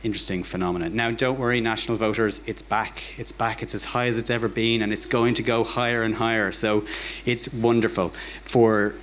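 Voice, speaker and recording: A man with an Irish accent and a fairly low voice speaks to a live audience in an informal lecture style. His delivery is conversational and wry, with words often repeated. There is faint noise from the venue behind him. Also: fairly even intonation, fairly quick, hardly any pauses, a bit bright. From Ireland. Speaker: academic giving a public talk. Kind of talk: informal public lecture with dry humour. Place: bar hosting a talk night.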